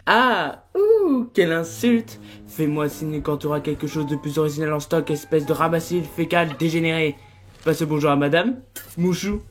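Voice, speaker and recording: A man's voice: fairly high pitch, expressive, moderate pace, soft background music, lightly acted. Casting: a man as a vulgar demon hustler, flamboyantly sarcastic, slightly raised register, cartoon. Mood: mock offended. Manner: theatrical, sarcastic. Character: sassy, vulgar demon streetwalker.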